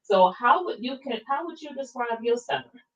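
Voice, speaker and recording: A woman's voice: a little high, animated, fairly quick. A woman hosting a casual discussion, asking questions with some hesitation and offering short affirmations. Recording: dull, muffled lo-fi audio.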